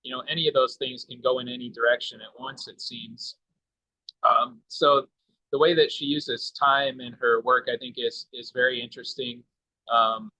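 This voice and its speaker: A man speaking as a literary translator, explaining his thoughts in a measured, tentative way during a book discussion. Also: medium pitch, sharp tone, no room sound, low-quality recording.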